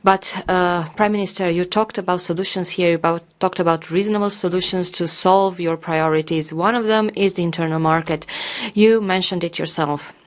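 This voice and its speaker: A woman's voice: fairly low pitch, fairly even intonation, moderate pace. A woman in her sixties from Romania gives an earnest, pleading parliamentary speech, with many fillers. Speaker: member of parliament.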